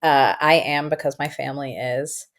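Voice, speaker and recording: Low-voiced woman, thoughtful and emphatic. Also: moderate pace. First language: Farsi. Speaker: guest educator and author. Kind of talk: conversational interview.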